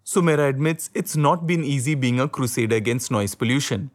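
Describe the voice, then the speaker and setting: A man's voice: medium pitch, brisk. A man, an interviewer reading scripted journalistic narration.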